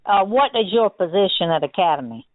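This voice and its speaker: Female customer on a complaint call: insistent, direct, medium pitch, thin telephone sound. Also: animated, brisk, no room sound. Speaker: customer calling about a refund. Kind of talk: phone complaint conversation. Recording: tinny, narrow telephone line.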